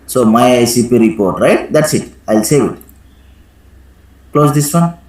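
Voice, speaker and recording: Male software trainer in an echoey room. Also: mid-range voice, expressive, normal speed. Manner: instructive, frequently checks with "right?". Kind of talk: live screen-share software tutorial with questions to students.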